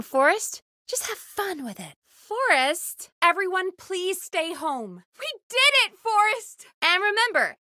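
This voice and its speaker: A woman producing a radio show in a video game. Her voice sits high and is recorded close and dry. She is earnest and supportive, with a wry, easily exasperated edge to her natural, expressive delivery. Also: dry recording. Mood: upbeat, encouraging. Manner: conversational, expressive, dryly exasperated.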